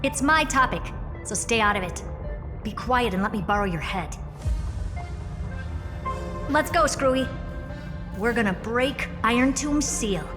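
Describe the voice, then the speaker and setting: An imperious genius scientist from a video game, proud and self-assured. Her voice is on the high side and rings with long reverb over loud music. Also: animated, measured pace, pauses often. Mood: confident, brisk. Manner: brusque, self-assured, grandly declamatory. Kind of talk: scripted video game voice acting. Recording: echoing hall reverb under loud music.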